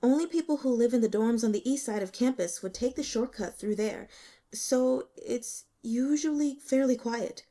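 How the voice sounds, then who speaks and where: A woman voicing a nervous, evasive young female student in an audiobook, hesitant and halting. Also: a little high, dark tone, pitched above the performer's own voice. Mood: nervous, flustered. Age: young adult. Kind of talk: audiobook character dialogue.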